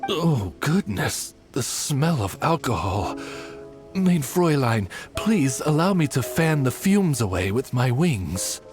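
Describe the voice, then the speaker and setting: An actor voicing a devoted winged familiar in a video game, formal and deferential with ornate phrasing. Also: male voice, mid-range voice, big pitch swings, slow, music in the background. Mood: courtly, obliging. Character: courtly, devoted male winged bird familiar. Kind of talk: video game cutscene acting.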